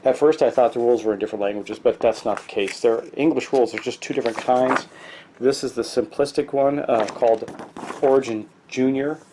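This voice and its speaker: A man's voice: medium pitch, brisk, noisy background. A middle-aged man, stuffy from allergies, casually walking through a board game with plenty of ums and restarts. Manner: rambling, many ums and restarts. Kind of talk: casual tabletop game walkthrough.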